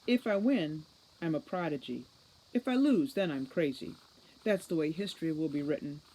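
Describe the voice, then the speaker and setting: A man's voice: on the high side, animated, normal speed, a little mellow, rough recording. A man voicing a smug, cold, precocious boy criminal mastermind in an audiobook, with formal, sardonic condescension. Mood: condescending calm. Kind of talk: audiobook character reading.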